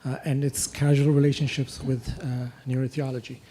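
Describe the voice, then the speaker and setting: A young man from Ethiopia asking a long question at a lecture, halting and full of restarts. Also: mid-range voice, flat delivery, dark tone, slight background noise. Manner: halting, many fillers and restarts. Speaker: audience questioner. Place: lecture hall.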